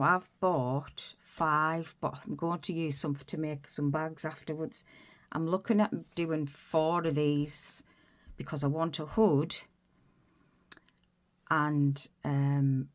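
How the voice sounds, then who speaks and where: Deep-voiced woman, stuffed up with a cold, rambling friendly tutorial host. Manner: chatty, rambling, self-interrupting. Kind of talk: casual crafting tutorial.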